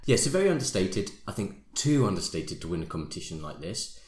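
Deep-voiced man chatting about music. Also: big pitch swings, fairly quick, a little mellow. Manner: enthusiastic, restarts and hedges. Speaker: podcast co-host. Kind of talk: casual music review chat. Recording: roomy echo.

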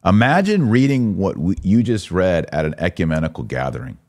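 Guy with a deep voice doing preachy podcast talk, really emphatic, asks rhetorical questions. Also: sing-song, brisk, darkish tone. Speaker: podcast host. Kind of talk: religious discussion, preaching commentary.

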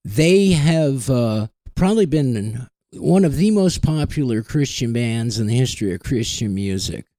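A man hosting a music podcast in a casual, deadpan way, slipping in dry jokes and wry asides. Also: mid-range voice, a little slow, hardly any pauses, no room sound. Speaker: podcast host.

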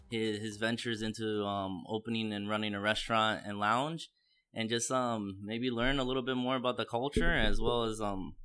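Male podcast host, fairly low voice, conversational interviewing, halting phrasing. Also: sharp tone, clear, resonant tone.

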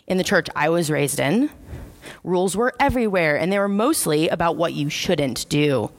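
A woman's voice: lowish voice, expressive, moderate pace. A woman preacher, giving a sermon in a church in a conversational, wry, self-deprecating way. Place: church sanctuary.

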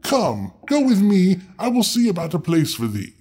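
A man acts out a prying fairy-tale wagoner, blunt but helpful. His speech is archaic, and his pitch is high and very animated, with music underneath. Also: moderate pace. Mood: brusque. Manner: archaic, questioning phrasing. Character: gruff, nosy male wagoner, brusque but helpful.

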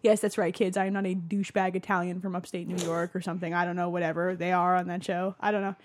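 A woman's voice: on the low side, level tone, fast talker, slight background noise. A woman podcast co-host with a slight New Jersey accent, chatting casually, joking crudely and laughing often. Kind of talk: casual chat.

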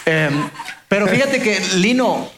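A man's voice: high voice, expressive, slow pace, echoey room, background noise. A man hosting a casual interview, friendly and jokey, often restarting his phrases. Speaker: interviewer host.

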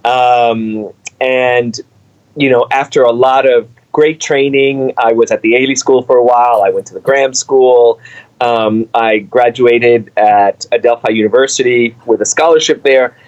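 A middle-aged man from Cuba, the artistic director of a dance company, answering interview questions in animated, storytelling fashion with many fillers. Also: medium pitch, unhurried, muffled recording.

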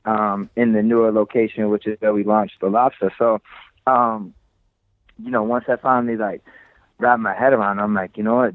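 Low-voiced man on a thin phone line. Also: no echo. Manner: candid, slangy, freely swearing. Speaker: interview guest, creative director of a sneaker shop. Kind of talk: casual chat, storytelling.

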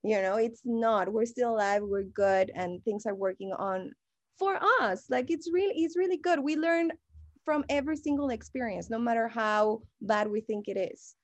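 A woman from Mexico with a Mexican Spanish accent, a guest on a podcast, talking casually in a perky, animated way. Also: fairly high pitch, normal speed, no room sound. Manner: perky, animated, laughs often. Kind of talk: casual conversation.

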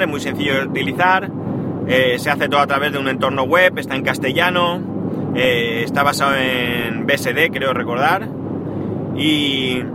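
A man's voice: mid-range voice, heavy background noise. A man with a Spanish accent from Spain, talking through a casual tech podcast in a chatty, rambling way, with lots of fillers and self-corrections. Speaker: podcast host.

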